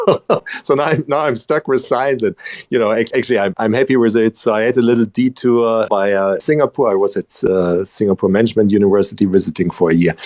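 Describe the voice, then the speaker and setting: A man's voice: fairly low pitch, a little mellow, no echo. A man with a German accent, talking as a guest professor in a casual interview, hesitant with many restarts and easy laughs.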